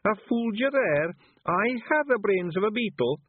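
A man's voice: high voice, animated, normal speed, slightly rough, dry recording, narrow, tinny audio, voice pushed up. A man voicing a foolish, proud little pictsie man, gleeful and simple-minded, in an audiobook.

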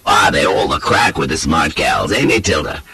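A man voicing a gallant, flattering rake in a storybook, with an effusive drawl. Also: rumbling low, moderate pace, vocal fry, pitched below the performer's own voice, full character voice. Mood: teasing. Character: gallant Southern rake, an oily flattering companion.

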